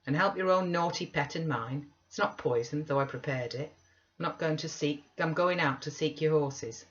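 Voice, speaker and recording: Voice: medium pitch, moderate pace, a bit bright, lightly acted. A reader voicing a cruel, domineering man in an audiobook, with a harsh, commanding manner. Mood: mocking. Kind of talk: audiobook reading. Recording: dull lo-fi recording.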